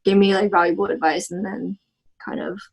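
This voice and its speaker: A woman's voice: mid-range voice, steady tone, fairly quick, breathy edge, low-quality recording. A young woman in her twenties from Texas, answering oral history interview questions casually with many "like" and "um" fillers and restarts. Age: 20s.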